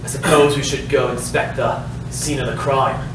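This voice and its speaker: A man's voice: medium pitch, level tone, normal speed, natural voice. Man playing a lovesick melodramatic private eye, echoey room. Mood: eager. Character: melodramatic lovesick male private eye, awkwardly smitten, easily flustered. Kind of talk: comedic staged reading, film noir parody. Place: live staged reading in a roomy space. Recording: echoey noisy room, far from mic.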